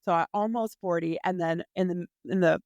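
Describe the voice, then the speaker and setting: A woman's voice: lowish voice, steady tone, a little fast, a little mellow, dry recording, studio quality. A woman in her forties hosting a podcast, giving an earnest, emphatic faith-based motivational talk, speaking intimately to the listener and repeating phrases for stress. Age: 40s. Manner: addresses listener intimately as sis. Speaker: podcast host and dating coach.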